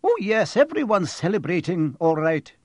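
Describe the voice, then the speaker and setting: A stern, prim older witch professor from a storybook, acted out by a male reader. The voice sits high and swings sharply in pitch, with an impatient, indignant edge. The recording is dry and close. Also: sing-song, measured pace, slightly rough, dry recording, exaggerated voice. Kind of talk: audiobook character voice.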